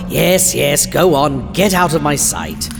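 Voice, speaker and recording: A man playing a theatrical, villainous horror-host doctor who gloats and menaces in a hammy, drawn-out audio drama delivery. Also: fairly high pitch, sing-song, vocal fry, full character voice. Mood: gleeful, dismissive. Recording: long reverb, music behind.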